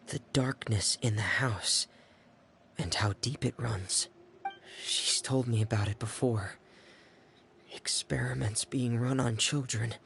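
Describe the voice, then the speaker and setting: A man's voice: medium pitch, vocal fry, fairly bright tone, slight background noise. A subdued, cautious young man. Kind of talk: video game dialogue.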